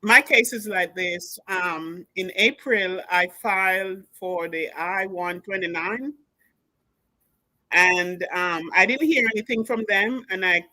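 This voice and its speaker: A woman calls in and explains her case in a halting way, with many pauses and fillers. Her voice sounds bright and clear. The sound is dry and close. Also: mid-range voice, dry recording, poor audio. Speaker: caller. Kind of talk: call-in question.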